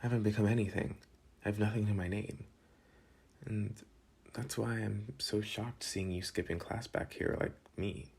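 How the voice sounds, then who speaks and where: School bad boy played by a young man: deep-leaning raspy voice, slangy, protective, teasing, close ASMR boyfriend roleplay. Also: steady tone, moderate pace. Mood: earnest. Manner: casual slangy teasing with soft sighs. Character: protective teenage school delinquent, gruff but soft-hearted, teasing.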